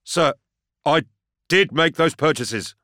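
A man's voice: fairly high pitch, expressive, moderate pace, dry recording, studio quality, voice pushed up, close to an everyday voice. A man voicing a frightened, cringing farmer on trial in an audiobook, deferential, anxious and pleading as he answers. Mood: timid, strained. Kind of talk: audiobook character acting.

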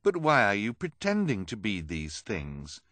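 A man's voice: fairly low pitch, huge pitch swings, measured pace, fairly bright tone, no echo, full character voice. A man voicing an earnest boy who confronts and questions pointedly. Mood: confronting. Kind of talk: audiobook character voice.

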